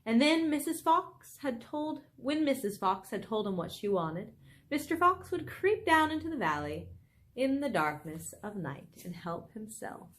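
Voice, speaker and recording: A woman reading a children's book aloud expressively, with animated, dramatic phrasing and casual asides. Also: fairly high pitch, unhurried. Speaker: storybook reader.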